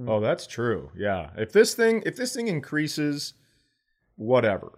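Middle-aged man with a mid-pitched voice. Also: huge pitch swings, a little slow. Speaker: comedian and podcast co-host. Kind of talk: casual conversational banter.

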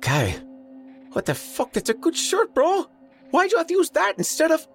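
Shrill, whiny shocked slacker dude. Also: male voice, huge pitch swings, normal speed, vocal fry, faint music behind, full character voice. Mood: sputtering, indignant. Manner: babbling, sulky slacker talk. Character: whiny, shocked young dude sulking over his car.

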